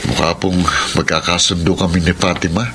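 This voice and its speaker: An actor playing an earnest, impatient young suitor and reformed playboy in a theatrical radio drama style. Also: male voice, low-pitched, little pitch movement, a little fast, close to an everyday voice. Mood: confiding. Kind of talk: Filipino radio drama acting. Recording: radio drama with loud music bed. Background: loud music.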